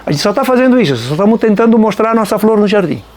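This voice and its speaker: Folk band musician, male, strong Nova Trento accent, somewhat high voice, reflective, leans on tag questions. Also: lively intonation. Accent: Nova Trento, Santa Catarina. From Nova Trento, Santa Catarina, Brazil. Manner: reflective, unhurried, frequent "né?" tags. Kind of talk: documentary interview.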